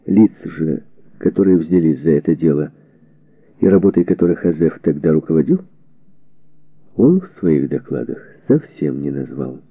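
A man reading a historical book as a scripted narration, in measured, deliberate phrases. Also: very deep voice, animated, husky, no room sound. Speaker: audiobook narrator. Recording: narrow phone-like band, radio-like sound.

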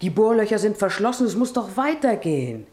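A woman's voice: mid-range voice, sing-song, fairly quick, darkish tone, close to an everyday voice. A woman acting in a German radio drama as a practical, long-suffering middle-aged wife, pleading and reproaching insistently. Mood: reproachful.

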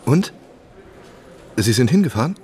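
A man's voice: medium pitch, big pitch swings, measured pace, mellow, slight background noise. A man playing an inquisitive writer who narrates and asks probing questions in a German radio drama. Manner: probing, attentive.

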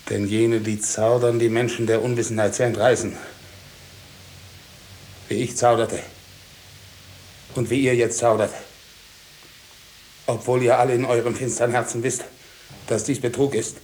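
A man's voice: mid-range voice, flat delivery, darkish tone, lightly acted. An actor playing an anguished, defiant man raging against a court, declaiming in 1950s German radio-drama style. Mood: grim, foreboding. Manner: slow, declamatory. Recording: hissy 1950s radio recording.

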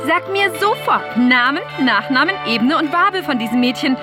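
A woman's voice: sits high, lively intonation, normal speed. An actress playing a stern, anxious mother in a science-fiction radio drama. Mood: alarmed, demanding. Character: alarmed, strict mother scolding her child. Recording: music behind the voice.

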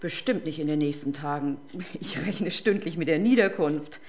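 Eine Schauspielerin spielt in einem Krimihörspiel eine diskrete, bestimmte Privatärztin, förmlich, beherrscht und auf der Hut. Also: female voice, deep voice, a little mellow, close to an everyday voice. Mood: guarded. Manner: formal, measured, guarded. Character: discreet, firm female private doctor shielding her patient. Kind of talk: crime radio drama acting.

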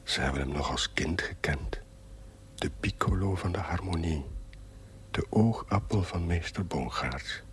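A thoughtful chaplain musing over his diary, really low murmur, slow, old tv drama with faint hiss. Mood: wistful, reflective. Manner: measured, musing. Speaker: actor in a television drama. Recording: faintly noisy 1970s television soundtrack.

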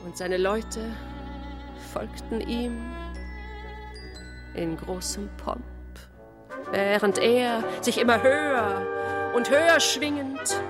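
A woman narrating a literary radio play in a measured, solemn manner with pauses. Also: fairly high pitch. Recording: voice over loud brass music. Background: loud trombone and brass music.

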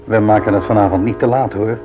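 A man's voice: on the low side, steady tone, fast talker, over music, lightly acted. An actor playing a grumbling, teasing old husband and grandfather in a radio play, muttering and repeating himself. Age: older. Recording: dull old radio recording.

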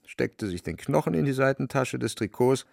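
A man's voice: medium pitch, expressive, normal speed, creaky, darkish tone, no echo, clean studio sound. A man narrating a radio play in a wry, dramatic literary storytelling manner.

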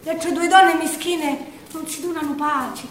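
A woman storyteller with a Sicilian accent declaims a lament in verse, in a very high voice. She delivers it as theatre, sounding distant from the mic in an echoey space. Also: steady tone, a little slow, noisy background.

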